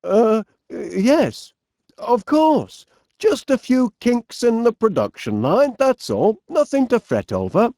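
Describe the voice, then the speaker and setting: A genial, bumbling middle-aged English inventor for a comedy video game. He has a Northern English accent and takes his time over his words, with pitch that swoops about. He is eager yet easily flustered and hesitant, recorded in a very dry studio sound. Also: sing-song, slow, mellow, dry recording, full character voice. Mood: sheepish, reassuring. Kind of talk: animated comedy game voice acting.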